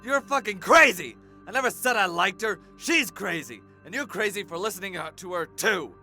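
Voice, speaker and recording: A man plays a volatile murder suspect in an audio drama, agitated and defensive. His voice is very sharp and tense, leaping widely in pitch. He pleads and swears like someone cornered, with music underneath. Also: expressive, moderate pace, full character voice. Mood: furious, desperate. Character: sobbing, volatile, frightened male murder suspect under interrogation.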